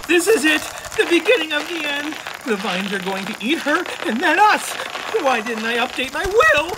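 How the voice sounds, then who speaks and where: A man playing a paranoid, doomsaying spaceship crewman in a sci-fi comedy audio drama, with melodramatic, shrill panic. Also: very animated, moderate pace, noisy background. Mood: doomed panic.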